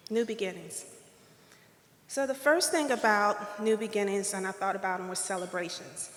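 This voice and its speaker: A woman's voice: mid-range voice, moderate pace, a bit bright. A woman with a light, girlish voice gives a playful, preacherly awards-dinner speech at a podium microphone, drawing call and response from the room. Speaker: keynote speaker at awards dinner. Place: hotel ballroom. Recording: echoey ballroom, reverb.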